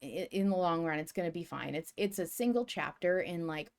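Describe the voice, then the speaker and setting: Female co-host, lowish register, informal conversational delivery, measured and diplomatic. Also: animated. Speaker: podcast host. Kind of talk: casual fan discussion.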